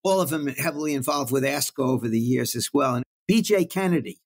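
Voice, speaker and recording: An older man from Brooklyn, telling stories about his career in a warm, reflective way as an interview guest. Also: mid-range voice, brisk. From Brooklyn, New York. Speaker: guest oncologist. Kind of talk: storytelling in an interview.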